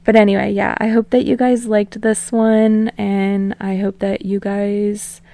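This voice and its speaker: A woman host talks informally to her listeners, hesitating and rambling as she goes. Her mid-pitched voice is noticeably creaky, recorded dry with little room sound. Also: little pitch movement, a little slow, mellow, no room sound. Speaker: podfic host. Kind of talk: casual chat to listeners.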